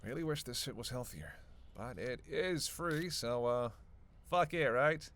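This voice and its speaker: A man's voice: mid-range voice, animated, measured pace, fairly bright tone. A man playing a flirty, sardonic vampire in a roleplay audio drama, with casual, teasing, profanity-laced banter. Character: flirty, sardonic male vampire on a road trip.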